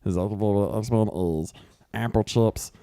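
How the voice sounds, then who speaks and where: Dopey cartoon meatball played by a man, low and mellow, childlike simple rambling. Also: normal speed, lightly acted. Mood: dopey, pleased.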